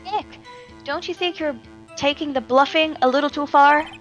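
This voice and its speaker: A woman's voice: sits high, normal speed, lo-fi sound, faint music behind, close to an everyday voice. A woman voicing a young, eager but unsure female assistant in an amateur video game dub. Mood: worried.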